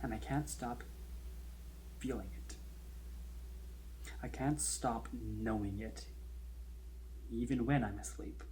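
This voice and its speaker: A man's voice: mid-range voice, warm tone, natural voice. Man acting an anxious, haunted man, noisy recording. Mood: haunted, resigned. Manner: halting, intense, breaking phrases apart. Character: anxious, bitter man terrified of his own death. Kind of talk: confessional horror audio drama monologue.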